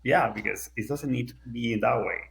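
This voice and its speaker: A man's voice: medium pitch, brisk, creaky. A man from South America with a Spanish accent, speaking English as a second language, earnest and casual as a podcast guest. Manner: earnest, with non-native phrasing. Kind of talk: casual conversation.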